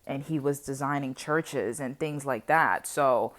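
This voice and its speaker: Low-voiced young woman chatting casually. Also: measured pace. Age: 20s. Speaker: vlogger sharing personal reflections on history. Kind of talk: casual unscripted chat to viewers.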